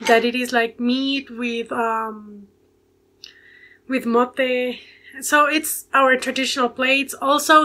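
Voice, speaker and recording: A young woman from Ecuador in her late teens talks English with a Spanish accent, pausing and reaching for words. Her voice is bright and a little high, held in a steady, even tone. She is heard through a simple earphone mic on a video call from home. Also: low-quality recording. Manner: hesitant, many fillers, searching for words. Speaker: guest. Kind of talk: casual video call interview. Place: home bedroom with bookshelves.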